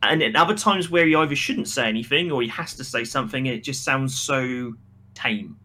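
A man's voice: mid-range voice, fairly quick, bright, forceful tone. A man co-hosting a casual podcast, giving critical, opinionated takes on a film. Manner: critical, acts out imagined quotes. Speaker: podcast co-host reviewing a film.

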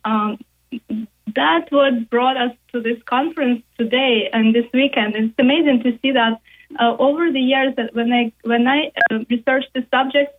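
Earnest woman on a tinny radio line. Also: a little high. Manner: earnest, halting. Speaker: guest, professor. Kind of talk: casual interview.

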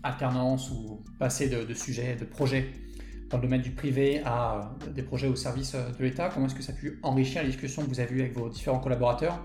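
A man's voice: mid-range voice, fairly even intonation, fast talker, a little mellow. A man interviewing formally, building long, careful, roundabout questions. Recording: echoey room, music behind.

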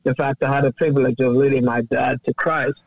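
A man's voice: medium pitch, level tone, fairly quick, creaky, dry recording, poor audio. A man, a guest telling his life story earnestly on a faith radio talk show. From Uganda. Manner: earnest, upbeat storyteller who chuckles easily. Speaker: guest, worship minister. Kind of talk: casual radio interview, personal story.

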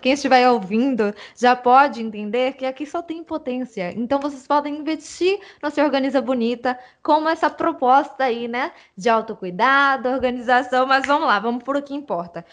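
Young woman, high-pitched, enthusiastic podcast host introducing guests. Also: a little fast, hardly any pauses. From Brazil. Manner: enthusiastic, chatty slang.

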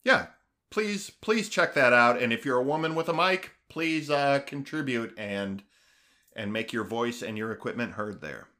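A man co-hosting a podcast in casual chat, halting with fillers and restarts, then growing eager. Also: medium pitch, expressive, bright, forceful tone. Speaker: podcast co-host.

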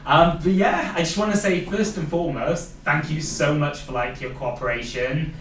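A man's voice: on the high side, normal speed, fairly bright tone. A young Welsh man hosts a casual interview, speaking in an encouraging, reflective way with plenty of "like" and "you know". He sits some distance from the mic in a roomy space, with a faint hum of noise behind him. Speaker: podcast host interviewing a young athlete.

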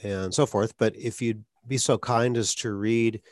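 A man with a somewhat low voice hosts the conversation, earnest and encouraging as he gives advice, his speech sometimes catching on stuttered blocks. The recording is dry and close, with no room echo. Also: fairly even intonation, unhurried. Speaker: podcast host, speech clinician. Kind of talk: conversational interview.

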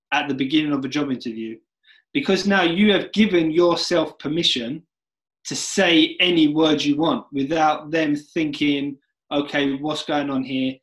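A man hosting an interview podcast, talking earnestly and with emphasis, speeding up and slowing down within phrases. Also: fairly high pitch, a bit bright, rough recording. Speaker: podcast host. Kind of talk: interview chat.

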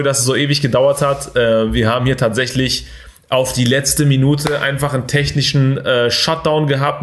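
A young man hosting a motivational podcast, energetic and full of fillers and English buzzwords. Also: mid-range voice. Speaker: podcast host. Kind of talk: casual motivational talk.

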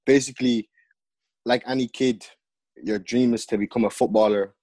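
A young man from the Netherlands, a music artist and podcast guest, telling stories in relaxed, slangy casual chat with lots of fillers. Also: mid-range voice, moderate pace, no echo.

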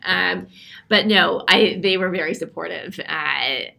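Gravelly-voiced woman, thoughtful. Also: on the low side, a little slow, bright, forceful tone. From Canada. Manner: thoughtful, frequent fillers and restarts. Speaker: interview guest. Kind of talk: casual reflective interview.

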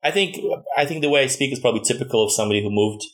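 A man's voice: medium pitch, rapid-fire, fairly bright tone. Thoughtful man with a slight Russian accent. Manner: thoughtful, hesitant, many fillers and restarts. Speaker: guest. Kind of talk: casual conversation.

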